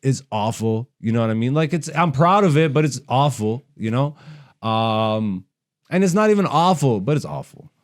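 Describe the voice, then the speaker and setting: A man with an average-pitched voice hosts a casual comedy podcast. He rants bluntly in loose slang and swears freely as he goes. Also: animated, moderate pace. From raised in Canada. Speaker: stand-up comedian and podcast host.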